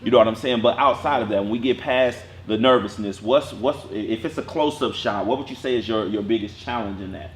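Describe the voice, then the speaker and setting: A man teaching an acting class, talking casually in a lively way with a strong voice and acting out bits of his stories. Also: fairly low pitch, fairly quick, few pauses, reverberant.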